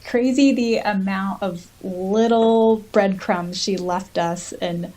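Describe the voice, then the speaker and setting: A woman's voice: medium pitch, slow, dark tone, rough recording. A woman co-hosting a podcast, casually discussing a book and reading out passages from it. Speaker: podcast co-host.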